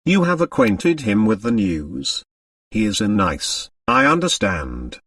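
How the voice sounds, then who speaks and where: A man voicing a rich, blunt American father in an audiobook, bitter and emphatic in a gruff manner. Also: on the low side, big pitch swings, slow pace, fairly bright tone, dry recording, studio quality, recorded from a distance, exaggerated voice. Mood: guarded. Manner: gruff, emphatic, plain-spoken.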